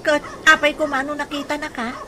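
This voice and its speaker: A woman playing an excitable, questioning village wife in an Ilocano comedy radio drama. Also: high voice, a little fast, close to an everyday voice. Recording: noisy track with animal sounds.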